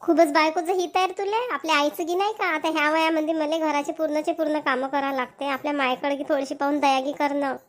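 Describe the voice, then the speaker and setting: Cartoon mother-in-law, older, female performer, high voice, Vidarbha Marathi accent, forever nagging and feeling sorry for herself. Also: fairly even intonation, quick pace, few pauses, natural voice. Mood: scolding. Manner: nagging, complaining. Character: grumbling, self-pitying older mother-in-law. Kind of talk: amateur cartoon dialogue.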